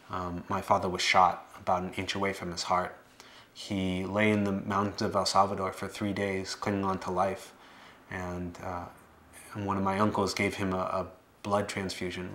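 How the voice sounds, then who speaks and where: Male, late 20s, American. Low, flat delivery, unpolished and plain-spoken, first-person documentary testimony. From Boston area, United States. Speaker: documentary subject telling his life story. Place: living room, indoors.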